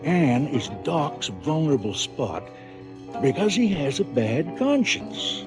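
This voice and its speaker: An actor playing a callous, scheming crime boss, delivering a boastful, cynical monologue in a stylized radio drama. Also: male voice, fairly high pitch, very animated, takes it slowly, exaggerated voice. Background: music.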